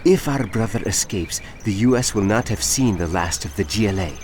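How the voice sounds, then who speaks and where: A man's voice: lowish voice, lively intonation, normal speed, a little hiss or hum, close to an everyday voice. An actor playing a grave, resolute male militant officer giving a scripted mission briefing in a video game. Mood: urgent, resolute. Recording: loud plucked-string game music underneath.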